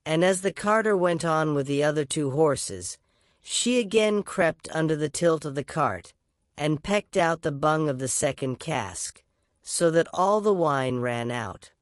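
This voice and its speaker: Storyteller reading a fairy tale, really unhurried, lively intonation, dry close sound. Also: mid-range voice, no room sound. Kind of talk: expressive scripted storybook narration.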